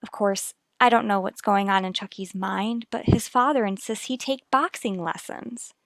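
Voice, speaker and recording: A woman's voice: mid-range voice, moderate pace, vocal fry, fairly bright tone, no echo. An actress playing a worried, earnest young mother in a vintage radio soap opera, confiding in a careful, hesitant way. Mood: anxious, pained.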